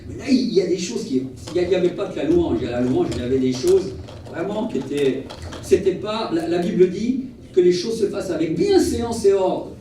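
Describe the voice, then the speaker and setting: A male evangelist speaks in a very reverberant room, picked up from some distance. His voice is slightly high and mellow. He delivers an earnest, animated sermon built on personal stories, repeating key phrases for emphasis. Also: brisk. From Portuguese family origin. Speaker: travelling evangelist. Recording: echoey hall, distant mic, faint noise.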